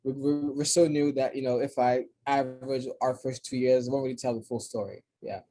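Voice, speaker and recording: Mellow-voiced Congolese-born man explaining. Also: mid-range voice, steady tone, a little fast, low-quality recording. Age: 30s. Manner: thoughtful, explanatory, frequent restarts. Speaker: coffee importer guest. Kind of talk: casual interview. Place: New York City.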